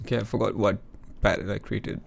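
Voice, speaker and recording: A man losing his voice, chatting casually through a music software demo over a screen recording. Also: on the low side, flat delivery, brisk, gravelly, background noise. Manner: chatty, thinks aloud with fillers. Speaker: music production youtuber.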